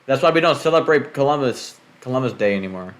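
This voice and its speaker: A man's voice: medium pitch, animated, fast, slight background noise. Rambling, swearing young man on a podcast. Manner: loose and rambling, swears, many fillers. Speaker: podcast host. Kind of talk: casual podcast chat.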